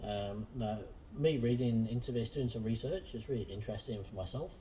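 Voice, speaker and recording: Mellow-voiced male host, relaxed. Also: medium pitch, brisk, far from the mic, a little hiss or hum, rough recording. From Southern Hemisphere. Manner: relaxed, friendly, many ums. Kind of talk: casual interview chat.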